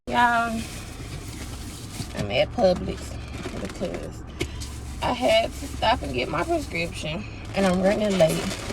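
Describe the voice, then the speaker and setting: A woman's voice: on the high side, gravelly, a little hiss or hum. Chatty, sniffly young woman. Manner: chatty, drawling, addresses viewers as y'all. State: congested, sniffling. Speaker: pediatric nurse and vlogger. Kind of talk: casual vlog chat. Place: car and errands.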